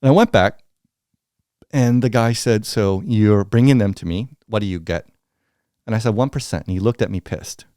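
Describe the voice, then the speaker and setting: A middle-aged American man telling candid business stories as an interview guest, blunt and animated, acting out what others said. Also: fairly low pitch, normal speed, dry recording, clean studio sound. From United States. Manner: blunt, animated, acts out quoted dialogue.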